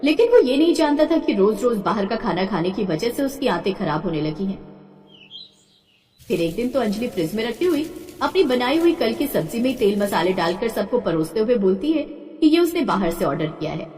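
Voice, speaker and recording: The recording rings with heavy reverb. A woman narrates a scripted story in a fairly high voice, with lively, wide-moving intonation. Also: fairly quick. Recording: echoey hall-like reverb.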